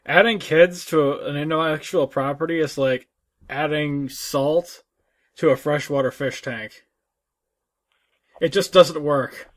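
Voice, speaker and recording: Male podcast co-host, a little high in pitch, rambling, halting, sweary casual talker. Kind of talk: casual chat.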